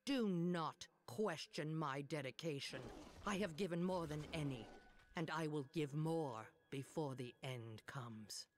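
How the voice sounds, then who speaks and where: A woman's voice: low-pitched, measured pace, slight background noise. A woman playing a stern, ruthlessly dedicated older sorceress mother in a video game, with a commanding, intense delivery. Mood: grave, resolute. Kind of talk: dramatic video game acting. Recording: game music behind the voice.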